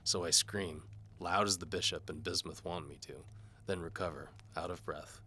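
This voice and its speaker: A man's voice: sits low, fairly even intonation, moderate pace, sharp tone, breathy edge. A man narrating a scripted first-person audio drama in a dry, hard-boiled noir style. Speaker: narrator and performer.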